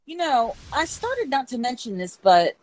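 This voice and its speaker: A woman's voice: sits high, big pitch swings, moderate pace, poor audio. A woman playing an edgy, eccentric woman, guarded yet frank, in an audio drama. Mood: uneasy. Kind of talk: amateur audio drama dialogue.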